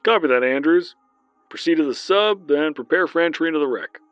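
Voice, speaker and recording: Brisk male controller on the radio, higher voice, dull and dry sound, put on by a male narrator. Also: clean tone, dry recording, soft background music. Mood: businesslike. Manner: steady, businesslike radio talk.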